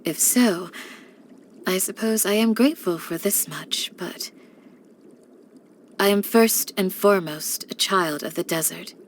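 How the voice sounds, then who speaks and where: A woman voicing a calm, devoted young village guardian in a video game, speaking in a measured, gentle and formal way. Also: medium pitch, slight background noise, lightly acted. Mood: solemn, resolute. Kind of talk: scripted game character voice lines.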